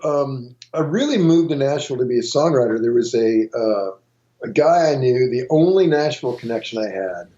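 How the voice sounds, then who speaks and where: A man's voice: mid-range voice, animated, normal speed, mellow, dull audio. A middle-aged man from Montana, a guitarist and songwriter, telling rambling stories in a casual interview with many restarts. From Montana, USA.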